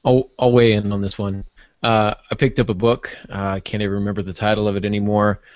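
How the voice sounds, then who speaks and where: A man with a fairly low voice talks casually on a podcast panel. He rambles a little, circling back and correcting himself. The sound is dry and somewhat dull, with little room in it. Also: flat delivery, quick pace, no room sound. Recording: muffled, dull lo-fi audio.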